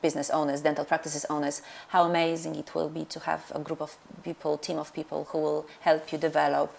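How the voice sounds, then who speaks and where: This is a middle-aged woman with a Polish accent and a low voice that has a gravelly edge. She talks about her work as a dentist in an interview, pausing often with fillers and restarting her sentences. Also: completely flat delivery, a little mellow. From Poland. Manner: hesitant, many fillers and restarts. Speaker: dentist and practice owner. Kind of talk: interview answers.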